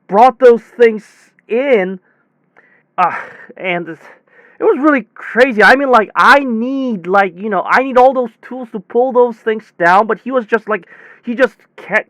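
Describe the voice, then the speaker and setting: High-voiced, excitable man telling a rambling story. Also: no room sound, poor audio. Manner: rambling, excitable, hesitant repetitions. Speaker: air conditioning technician character telling a story. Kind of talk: acted one-sided phone call, casual storytelling.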